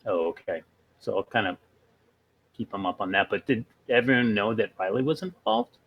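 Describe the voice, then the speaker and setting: A man playing a tabletop role-playing game, talking casually and haltingly as he works things out. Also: fairly low pitch, lively intonation, creaky, breathy edge, highs cut off. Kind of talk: casual in-character role-play chat.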